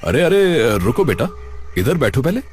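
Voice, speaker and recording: A man playing a polite, inquisitive young student in an animated story. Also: mid-range voice, moderate pace, husky, soft background music. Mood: reluctant, friendly. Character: curious young male student researching a village story.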